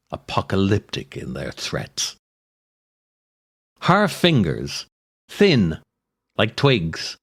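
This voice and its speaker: An older man hosting a podcast, reading scripted comic stories and verse in a theatrical, deliberate way with dramatic pauses. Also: on the low side. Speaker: podcast host, writer and actor.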